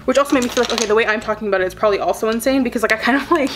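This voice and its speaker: A woman's voice: fairly high pitch, very fast, background noise. A woman in her twenties, a beauty vlogger, chatting in a rambling way while doing her makeup, her sentences full of filler words. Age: 20s.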